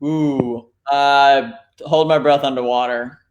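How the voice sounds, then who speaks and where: A man's voice: mid-range voice, fairly even intonation, takes it slowly, dull audio. A man from Florida with an American accent, chatting informally as an interview guest and thinking aloud. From Florida, United States. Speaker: fishing charter captain, interview guest. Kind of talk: casual chat.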